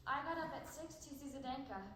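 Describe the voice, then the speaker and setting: Woman acting anguished young girl, high voice, stage play, very echoey, distant microphone. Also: fairly quick, a bit bright. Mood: somber. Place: stage in a large room. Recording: roomy distant stage recording, faint noise.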